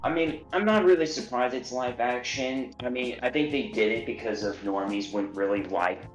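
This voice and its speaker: A man calling in to a live stream, relaxed and hesitant as he thinks aloud. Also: mid-range voice, normal speed, roomy sound, soft background music, low-quality recording. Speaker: caller. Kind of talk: casual call-in chat.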